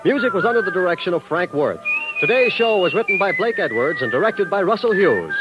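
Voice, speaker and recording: Animated high-voiced vintage radio announcer. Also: male voice, brisk, loud music behind the voice. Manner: polished, promotional. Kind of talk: 1950s network radio announcing, scripted. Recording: muffled old 1950s radio broadcast recording.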